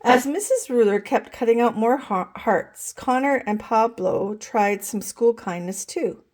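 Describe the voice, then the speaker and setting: A woman reading a children's picture book aloud in an expressive, deliberate way, as if to young listeners. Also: on the high side. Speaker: storytime reader.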